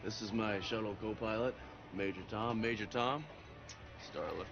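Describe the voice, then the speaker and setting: A man's voice: on the low side, normal speed, music in the background, lightly acted. An actor playing a cocky, wisecracking young male monster hunter in a TV drama, in muffled audio. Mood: wry. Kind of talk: TV drama acting. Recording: muffled TV audio, loud background noise.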